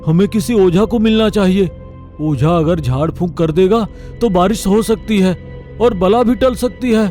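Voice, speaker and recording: Spiteful monkey busybody, male performer, animated fable, raised pitch, taunting delivery. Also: moderate pace, faint music behind, close to an everyday voice. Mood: wheedling.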